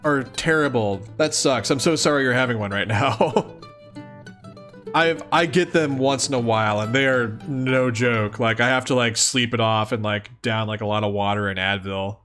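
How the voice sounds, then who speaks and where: A man's voice: mid-range voice, moderate pace, soft background music. A man hosting a let's-read, reading aloud expressively with casual, giggly commentary. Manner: giggly, expressive, dramatic reading mixed with chatty asides. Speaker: host of a live let's-read of a webcomic.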